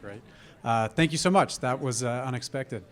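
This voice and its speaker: A man giving a business pitch on stage through a microphone, halting and repeating words as he addresses a live audience. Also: a little hiss or hum. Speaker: entrepreneur pitching a product.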